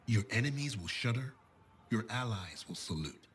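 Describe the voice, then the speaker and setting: An actor playing a passionate armour outfitter in a video game, speaking with grandiose, playful, emphatic showmanship. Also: male voice, lowish voice, lively intonation, moderate pace, a bit bright, a little hiss or hum.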